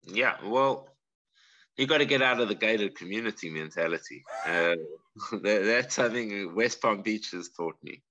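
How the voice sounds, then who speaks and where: Low-voiced South African man, muffled sound. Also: moderate pace, pushed and bright, a little hiss or hum. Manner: earnest, explains at length with many restarts. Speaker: guest bladesmith. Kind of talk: casual interview chat.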